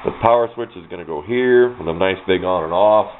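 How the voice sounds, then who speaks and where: A man's voice: deep voice, a little fast. A man giving an informal hands-on electronics tutorial in a folksy, rambling way. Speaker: hobbyist demonstrating electronics. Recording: dull lo-fi sound, faint background noise.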